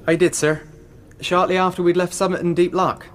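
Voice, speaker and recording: A man acts a young canal lad in a period courtroom radio play. His voice is high, and he answers his betters respectfully but guardedly. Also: little pitch movement, a little fast, natural voice. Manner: respectful, evasive. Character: deferential young canal boat lad giving testimony.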